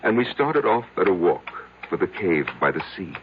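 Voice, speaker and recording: A noisy old radio recording carries a solitary, middle-aged recluse. His voice sits low, and he confesses in a halting, deliberate, obsessive way, in the manner of a 1970s radio play. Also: very animated, dull audio. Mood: rapt, yearning. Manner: halting, deliberate, brooding monologue. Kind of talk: 1970s radio drama acting.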